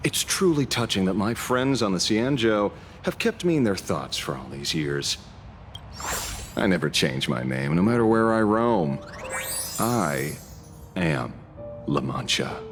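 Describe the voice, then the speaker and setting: A man's voice: deep voice, expressive, unhurried, pauses often, faint music behind. A man playing a swaggering, theatrical space ranger leader in a game cutscene, teasing and flamboyant. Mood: suave, theatrical. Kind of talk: dramatic game cutscene acting.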